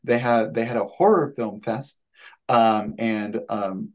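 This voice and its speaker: A man in his thirties answering interview questions on a video call, with halting phrasing and repeated words. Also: lowish voice, fairly even intonation, darkish tone. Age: 30s. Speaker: guest, playwright and actor.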